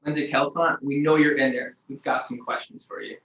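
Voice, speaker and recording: A man's voice: medium pitch, flat delivery, brisk, a bit bright, muffled recording, close to an everyday voice. A man playing a businesslike, official agent in a script table read, recorded from off in a room. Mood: stern. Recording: roomy, distant mic, faint noise, clicks.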